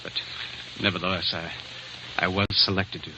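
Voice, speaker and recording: A man's voice: low-pitched, little pitch movement, normal speed, close to an everyday voice. A man acting a nervous young man accused of spying in an old radio drama, hesitant, stammering and protesting. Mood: anxious, protesting. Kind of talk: 1930s-40s radio acting. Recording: muffled, hissy old radio recording.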